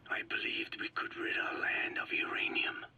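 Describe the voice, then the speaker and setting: An actor playing a frail, regretful elderly Navajo scientist in a video game, speaking slowly and solemnly in a breathy, whispery rasp. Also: male voice, pushed and bright. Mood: weary, resigned. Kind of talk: dramatic video game voice acting.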